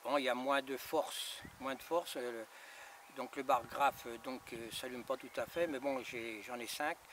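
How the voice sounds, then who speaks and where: A man in his fifties is heard from a few steps away outdoors, with clear background noise. His pitch is middling and he talks casually as a radio operator, in halting, repeated phrases. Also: steady tone, a bit bright. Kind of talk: casual radio check chat. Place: outdoors in a gravel yard by a house. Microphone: camera a few metres away. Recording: distant camera mic, noisy open air.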